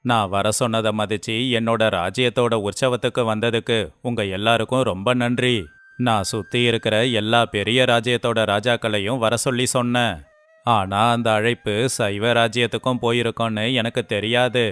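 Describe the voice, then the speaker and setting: Proud, mocking storybook monarch, male actor, mid-pitched and clean, pompous delivery for an animated moral tale. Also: normal speed, clean tone, no room sound, close to an everyday voice. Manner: pompous, boastful. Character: proud, scheming, mocking great king of a folktale. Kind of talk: moral story character dialogue.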